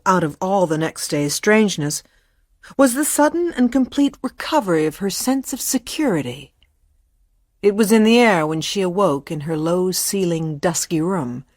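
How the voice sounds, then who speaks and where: A woman narrator reading a literary ghost story in a measured, formal storytelling manner. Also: lowish voice, no room sound, studio quality. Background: faint music.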